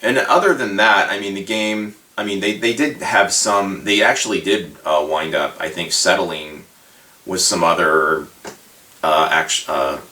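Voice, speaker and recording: A man giving a casual, rambling hobby commentary as a video host, halting and informal, often circling back as he talks. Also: sits low, sharp tone. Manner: halting, hesitant, meandering, informal. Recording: roomy echo, distant microphone.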